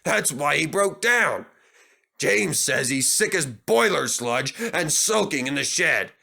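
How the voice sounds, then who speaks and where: Man as big gossipy storybook engine, bright, higher-pitched, mocking and jovial. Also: lively intonation, slow, creaky, full character voice. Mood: amused, gloating.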